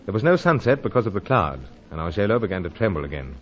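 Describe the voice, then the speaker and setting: An actor playing a hearty, unflappable British secret agent in an old-time radio adventure serial, with a plummy, polite, chummy manner. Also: male voice, lowish voice, sing-song, fast talker, soft background music. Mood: grave narrating. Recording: muffled recording with clear engine noise.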